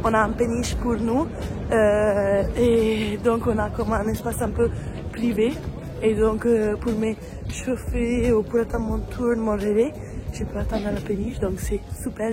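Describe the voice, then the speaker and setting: A young woman in her twenties from Italy, speaking with an Italian accent and chatting casually as a vlogger in a language that is not her first, with many fillers. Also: on the high side. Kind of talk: casual vlog chat.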